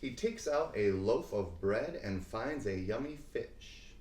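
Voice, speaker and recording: Slow, very animated male storyteller in echoey room. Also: lowish voice. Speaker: read-aloud host. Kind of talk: expressive children's picture book reading. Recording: roomy, echoing sound.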